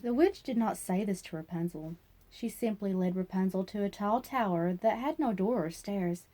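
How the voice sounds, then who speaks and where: A woman's voice: mid-range voice, normal speed, mellow. A woman narrating a children's fairy tale as a storyteller, reading aloud expressively.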